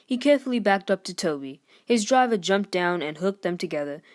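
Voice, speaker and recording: A woman reads a children's story aloud in an expressive scripted narration style. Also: mid-range voice, a little fast. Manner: expressive, lifts voice for character dialogue. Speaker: storybook reader.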